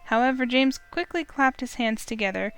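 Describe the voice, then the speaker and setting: A woman's voice: high voice, lively intonation, normal speed, fairly bright tone, clean tone, faint music behind. A woman streamer reading a visual novel aloud, narrating expressively in first person.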